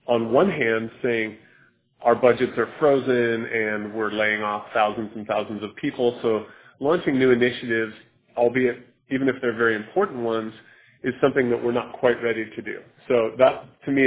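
A man answering investor questions on a conference call as a company executive, in measured, hesitant corporate phrasing. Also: mid-range voice. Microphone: telephone conference call. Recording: narrowband phone-like conference line.

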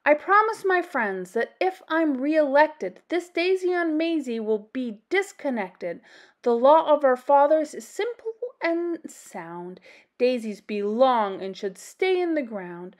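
A woman's voice: high-pitched, very animated, takes it slowly, heavily acted. A woman voicing a self-important male mayor in a children's storybook, declaiming like a speechmaker. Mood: pompous, proclaiming. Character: pompous male small-town mayor making speeches.

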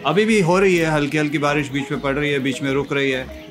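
A man's voice: on the high side, brisk. Chatty man vlogging with background music. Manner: relaxed, chatty, frequent fillers, mixes English phrases. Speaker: travel vlogger. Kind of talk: casual travel vlog narration. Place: outdoors at hill viewpoints and inside restaurants.

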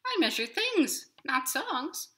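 Lady doing a little storybook inchworm, really high and bright, earnest and pleading. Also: very animated, takes it slowly, heavily acted. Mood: worried protest. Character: tiny earnest male inchworm, pleading but resourceful. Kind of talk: storybook read-aloud.

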